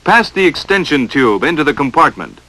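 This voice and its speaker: A man's voice: on the high side, big pitch swings, fairly quick, bright, forceful tone, rough recording. An American man narrating a 1940s instructional film in a stern, emphatic, dramatic coaching manner, on a vintage film soundtrack.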